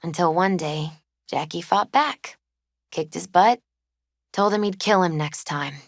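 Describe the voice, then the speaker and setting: A gentle young woman in her twenties, speaking as a grieving video game character. Her voice sits fairly low and comes through dry, with no room around it. She is subdued and wistful, hesitating as she talks in a natural, unforced way. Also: unhurried, no room sound. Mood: somber, reflective. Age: 20s.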